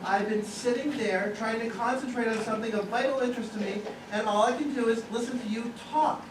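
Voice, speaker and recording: A man's voice: very high-pitched, a little fast. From far off in an echoey, noisy theater, a man acts an irritable husband in a stage comedy. He is testy and declaims each complaint with heavy emphasis. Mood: exasperated. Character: irritable exasperated husband trying to read his paper. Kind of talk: live stage comedy acting.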